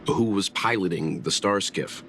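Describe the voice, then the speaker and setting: A man playing a composed, analytical gentleman investigator in a video game, speaking politely and precisely. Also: low voice, moderate pace, gravelly, slight background noise. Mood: skeptical, reasoned. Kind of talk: game voice acting.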